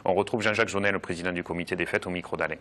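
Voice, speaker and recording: A man's voice: low voice, fairly even intonation, very fast, heavy background noise. A man presenting a regional TV magazine, speaking with frequent hesitations. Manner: hesitant, many filler sounds. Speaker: TV magazine host. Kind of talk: studio presenting with fillers.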